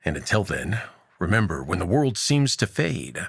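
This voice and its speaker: A man narrating a scripted audio story as its host, in a measured, dramatic way with deliberate pauses. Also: low-pitched, sing-song, creaky, fairly bright tone, breathy edge, no echo, clean studio sound.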